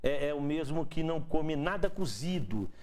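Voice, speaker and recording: A man's voice: on the high side, lively intonation, normal speed, a little mellow. A male interview host with a Minas Gerais Portuguese accent, chatty and joking, often repeating words as he searches for them. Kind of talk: casual interview chat.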